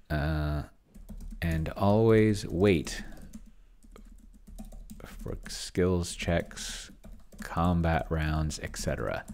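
A middle-aged man giving casual think-aloud screencast commentary, halting and muttering as he types. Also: low-pitched, darkish tone. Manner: halting, mutters while typing. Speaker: host of a solo roleplaying experiment vlog. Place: small indoor room with bookshelves.

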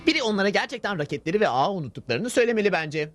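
A man's voice: high voice, very animated, rapid-fire. A man voicing a naive, dim-witted dog who muses to himself in puzzlement, in a Turkish cartoon dub. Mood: naive musing. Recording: loud music behind the voice.

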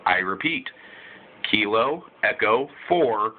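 Relaxed low-voiced man on radio. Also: slow, phone-quality sound. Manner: relaxed, laughs easily, spells call signs phonetically. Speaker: ham radio operator. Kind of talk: radio contact chat.

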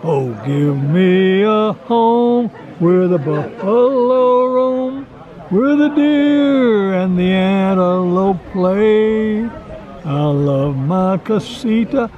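An older man at an outdoor campground, joking and slowly singing a cowboy tune. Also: high voice, animated, dull audio, soft background music. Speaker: campground guest. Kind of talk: joking self-introduction with singing.

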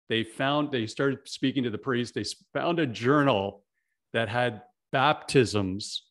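A middle-aged man from Canada hosting an interview over a video call, friendly and full of "you know" fillers. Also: mid-range voice. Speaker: podcast host. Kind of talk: casual interview chat. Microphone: video call, desk mic.

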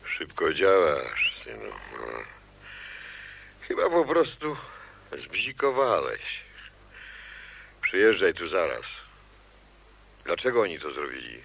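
An older, paternal boss in a radio drama. He has a deep voice that takes its time and swings in pitch, with a commanding air. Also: big pitch swings, slow, vocal fry, long pauses, fairly bright tone, slightly rough, exaggerated voice. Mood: fatherly. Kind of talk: radio play acting.

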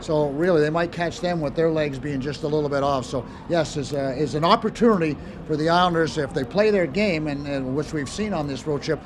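Older male hockey analyst, raised pitch. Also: fairly quick, few pauses, background noise. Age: 60s. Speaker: sports analyst. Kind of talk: conversational post-game hockey analysis. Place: inside a large hockey arena. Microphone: handheld broadcast microphone.

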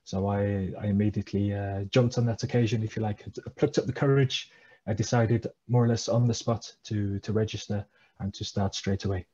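A man's voice: on the low side, fairly even intonation, a little fast, warm tone, muffled recording, a little hiss or hum. A man, a guest talking hesitantly over a headset on a video call from a small room. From England. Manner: hesitant, many uh fillers, thoughtful. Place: small room at home, indoors.

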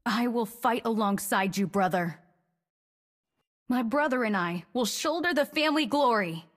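A woman's voice: fairly high pitch, animated, measured pace. A woman voicing a cocky, agile young female assassin in a video game, teasing and playful in her lines.

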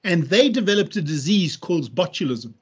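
Middle-aged man, South African accent, slightly higher pitch, steady video-call guest. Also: brisk, slightly rough. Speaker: head of veterinary school, guest. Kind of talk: measured interview answers.

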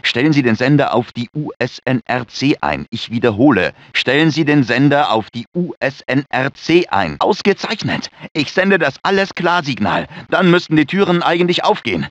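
A fussy, anxious research scientist from a video game. His formal, precise delivery swings animatedly in pitch with nervous urgency. The clip is dry and slightly lo-fi. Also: very animated, normal speed, few pauses, a bit bright, no echo. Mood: tense, explanatory. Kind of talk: dubbed video game voice acting. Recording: dull, lo-fi game audio clips.

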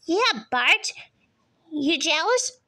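Woman playing cartoon mom and kids. Extremely high pitch, swooping melody, unhurried delivery. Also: very animated, sharp tone, full character voice. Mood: chatty. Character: cartoon family: fussy mother and her children. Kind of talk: fan-made cartoon voice acting.

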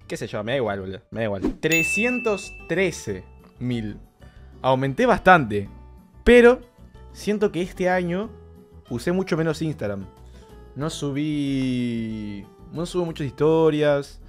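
A young man with an Argentine accent chats and jokes on a livestream, his voice sitting a little high. He talks into a close boom mic in a small bedroom setup. Soft music plays faintly behind him. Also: big pitch swings, a little slow. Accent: Argentine Rioplatense Spanish. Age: 20s. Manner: chatty, jokey, reacting to his old clips. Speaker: streamer. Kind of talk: casual livestream chat. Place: small bedroom streaming setup with blue lights. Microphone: boom mic close to his face. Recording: clean streaming mic with faint background music. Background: soft background music.

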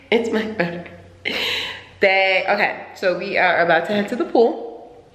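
A woman's voice: lowish voice, echoey room, long reverb tail. A woman in her twenties talking casually and playfully to a camera held at arm's length in a hotel room. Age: 20s. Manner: playful, chatty, drawn-out emphasis. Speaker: vlogger.

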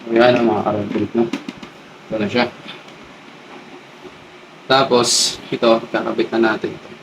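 A man with a Filipino accent giving a casual hands-on demo, haltingly thinking aloud as he works. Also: mid-range voice, little pitch movement, fairly bright tone, muffled recording. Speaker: motovlog host. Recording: distant mic with clear background noise.

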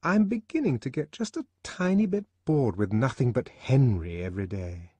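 A man's voice: mid-range voice, sing-song, normal speed, mellow, husky, dry recording, voice pushed up. A man voicing a breezy, casual young woman with a defiant, tender streak in an audiobook. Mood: bored. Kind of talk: audiobook character acting.

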